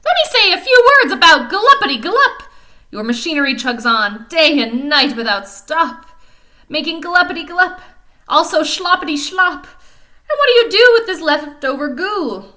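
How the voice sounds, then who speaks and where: A loud, high-pitched little forest creature, acted out by a woman reading a storybook. He scolds indignantly with a croak in his voice, bright and forceful, leaning hard on his words. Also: very animated, unhurried, exaggerated voice. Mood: scolding. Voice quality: croaky, coughing. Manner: emphatic, scolding, exclamatory.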